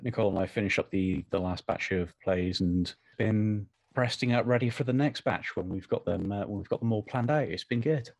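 A man's voice: fairly low pitch, fairly quick, hardly any pauses, darkish tone, dry recording. Casual male guest, dry sound. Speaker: podcast guest, voice actor. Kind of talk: casual chat.

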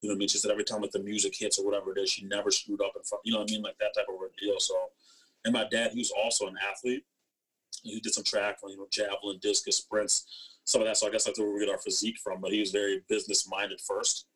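Young male guest, low husky voice, casual rambling storyteller with slangy phrasing. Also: flat delivery, fast talker, a bit bright, low-quality recording. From East Africa, born in Ethiopia. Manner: rambling, slangy, frequent "you know what I mean". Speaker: interview guest, former athlete.

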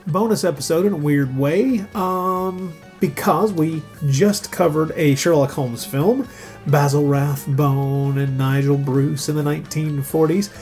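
A podcast host talks in a loose, conversational style over music. The delivery rambles and muses, with a wry edge, at a pitch in the middle of the range. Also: a little mellow. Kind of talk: casual podcast chat.

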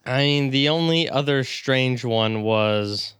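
A man's voice: medium pitch, slow, a bit bright, clear, resonant tone, dry recording, studio quality. A man in his early twenties co-hosting a podcast in casual conversation. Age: early 20s. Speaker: podcast co-host. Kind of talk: casual chat.

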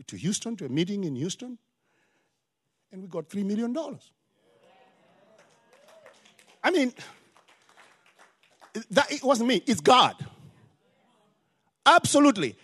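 An older male pastor with a Zimbabwean accent preaches with emphatic force. His voice sits high for a man and climbs further when he drives a point home. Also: a bit bright. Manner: emphatic preaching with rising exclamations. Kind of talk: sermon.

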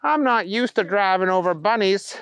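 A man voicing a dim, naive, bumbling male character in a children's story, puzzled and slow-witted. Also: high voice, a bit bright, smooth, pitched above the performer's own voice. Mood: clueless.

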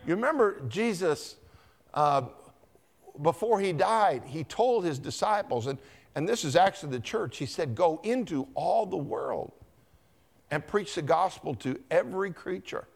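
Slow, lively-voiced pastor quizzing his flock. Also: high-pitched, creaky. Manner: teacherly, asks the congregation questions and calls on people by name. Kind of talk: interactive Bible teaching with quiz questions. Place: church.

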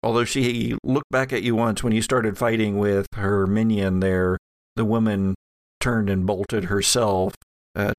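Man, game master, creaky voice, halting improvised narration, dry sound. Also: fairly low pitch, dry recording, poor audio. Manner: halting, searching for words.

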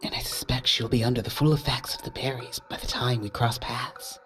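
A man voicing a gentle male wind sprite familiar, speaking in a soft, breathy whisper. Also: medium pitch, steady tone, brisk, faint music behind, voice pushed up, natural voice. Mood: solemn.

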